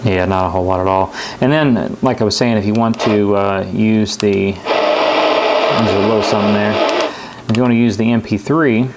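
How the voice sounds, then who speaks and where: A man's voice: on the low side, fairly even intonation, normal speed, over loud music, poor audio. A man giving a casual, unscripted hands-on product review in an easygoing, rambling way. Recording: clear radio static and noise behind. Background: shortwave radio static and stations.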